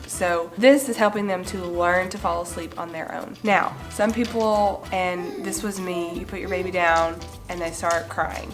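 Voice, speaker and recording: Woman, 20s, home vlogger with a cold; nasal and slightly raspy, casual and chatty, faint music bed. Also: lowish voice. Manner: chatty, gestures with hands while explaining. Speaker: mom vlogger. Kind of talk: casual parenting vlog to camera. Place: bright living room indoors.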